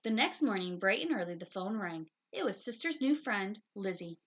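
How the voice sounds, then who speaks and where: A woman reading a children's story aloud in an expressive storytelling style. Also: medium pitch, normal speed. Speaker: picture book reader.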